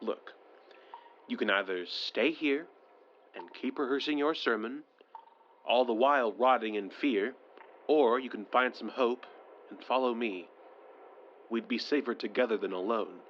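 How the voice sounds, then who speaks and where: A man's voice: mid-range voice, unhurried, pauses often, fairly bright tone, lightly acted. An actor playing a shaken but stubbornly hopeful male survivor in an audio drama, urgent and blunt. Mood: urgent resolve. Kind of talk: modern audio drama acting. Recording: muffled, noisy handheld field recorder.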